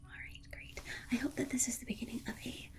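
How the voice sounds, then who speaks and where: A woman playing a polite, condescending alien scientist in an ASMR roleplay, whispering in a soothing, coaxing manner with breathy delivery. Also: a little high, steady tone, fast, natural voice. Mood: politely reassuring. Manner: soothing, coaxing, hesitant asides. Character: alien scientist, polite, reassuring, breezily condescending toward humans.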